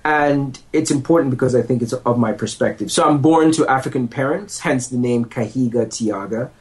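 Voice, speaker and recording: A man's voice: mid-range voice, normal speed, darkish tone. Easygoing man, laughing guest with restarts. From United States. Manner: self-deprecating, laughs easily, rambling restarts. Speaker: podcast guest, lawyer and entrepreneur. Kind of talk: relaxed conversational interview. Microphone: handheld microphone.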